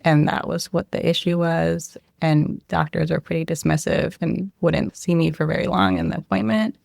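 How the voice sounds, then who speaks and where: A young woman, a medical resident, answering interview questions in a soft, reflective way, often hedging with "I think" and "like.". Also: sits low, moderate pace, vocal fry, dry recording, clean studio sound. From Israel, raised near Boston.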